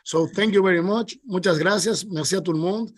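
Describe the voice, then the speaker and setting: A man from the Dominican Republic speaks English with a strong Spanish accent. His voice sits a little high. He delivers formal meeting remarks earnestly, stumbling into fillers and restarts. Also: a little fast. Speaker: official speaker at a council meeting.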